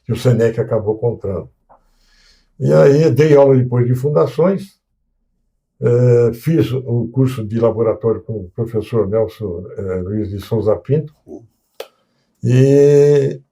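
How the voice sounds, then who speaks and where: A man in his sixties with a Brazilian accent, a guest in an interview, recalling his career at an unhurried pace with many restarts and hesitations. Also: medium pitch, gravelly, mellow. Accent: Brazilian Portuguese, São Paulo coast. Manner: reminiscing, with frequent restarts and hesitations. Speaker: guest, civil engineer. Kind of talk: casual interview talk.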